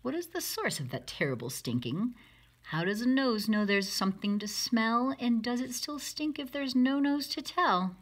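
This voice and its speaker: A woman's voice: fairly high pitch, big pitch swings, moderate pace, pitched above the performer's own voice. A woman voicing a curious, persistent little girl full of questions in a children's storybook. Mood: puzzled. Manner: questioning, insistent.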